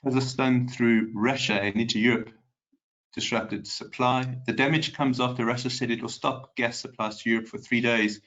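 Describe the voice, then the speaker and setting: A man's voice: medium pitch, fairly bright tone. Male webinar host, nasal and a bit congested, casual with lots of fillers; dull, lo-fi audio. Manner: chatty, greets viewers by name, frequent ums. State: congested from a cold. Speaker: market specialist hosting a webinar. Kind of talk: live webinar market commentary.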